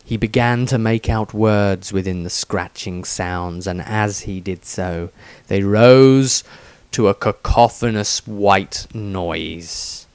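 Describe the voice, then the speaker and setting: A man reading a fantasy story as a dramatic audiobook, with deliberate, suspenseful phrasing. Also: on the low side, darkish tone, smooth, no echo. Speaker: author reading own story.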